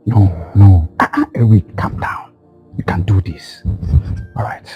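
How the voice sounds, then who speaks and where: Brief: man as hesitant suitor, slow, lively pitch, dull lo-fi sound. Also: lightly acted. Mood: nervous. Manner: hesitant, self-coaching, soft-spoken. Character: nervous young man rehearsing a proposal. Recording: dull lo-fi recording, faint music.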